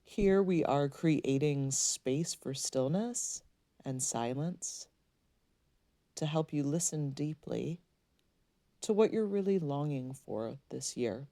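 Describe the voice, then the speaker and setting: Very low-voiced, slow meditation guide woman. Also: animated, no echo. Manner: soothing, gently instructing, unhurried pauses.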